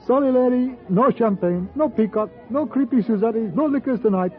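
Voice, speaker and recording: An actor playing an excitable, scolding hotel porter in clipped pidgin English, in 1950s radio drama style. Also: male voice, extremely high pitch, moderate pace, soft background music. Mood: brisk, insistent. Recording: tinny narrow-band old radio broadcast.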